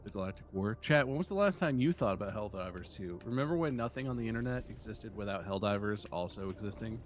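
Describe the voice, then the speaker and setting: A man's voice: on the low side, lively intonation, fast, soft background music. A man co-hosting a casual chat while gaming, with a wry, teasing manner.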